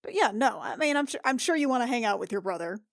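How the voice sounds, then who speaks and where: High-voiced woman improvising at tabletop game. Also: animated, creaky, no echo, studio quality. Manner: halting, conversational, informal. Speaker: player in a tabletop roleplaying game. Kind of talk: improvised in-character dialogue, casual chat.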